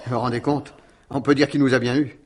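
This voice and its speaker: A man's voice: mid-range voice, little pitch movement, fast talker, a little hiss or hum, natural voice. An actor playing an eager, chatty male subordinate in a 1950s-style French radio mystery, colloquial and matter-of-fact. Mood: excited.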